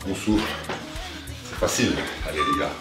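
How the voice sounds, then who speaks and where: Low-voiced man coaching, very echoey room. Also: brisk. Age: young adult. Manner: motivating, exertive talk while exercising. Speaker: fitness coach. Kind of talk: workout instruction, counting and cheering on. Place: living room, indoors. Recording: very echoey room, distant mic, music behind. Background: clear music.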